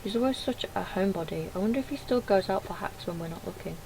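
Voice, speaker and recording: A woman's voice: mid-range voice, quick pace, gravelly. A woman reading game dialogue aloud with casual commentary, in a noisy home recording. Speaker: gaming video creator.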